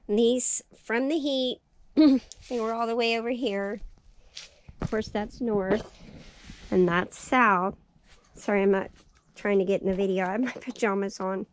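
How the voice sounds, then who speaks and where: A woman walking through her greenhouse, chatting cheerfully about her seedlings, her voice raspy and tired from lack of sleep. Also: mid-range voice. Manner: chatty, cheerful, thinking aloud. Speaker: farm vlogger. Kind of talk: casual walkthrough narration. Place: small greenhouse, indoors.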